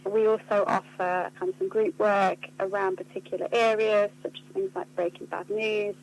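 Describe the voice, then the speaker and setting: A woman answers interview questions in an earnest, articulate way. Her pitch stays fairly flat as she explains. There is a faint hum and noise on the line. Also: moderate pace, poor audio. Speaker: charity communications officer being interviewed. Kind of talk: spoken radio interview answers.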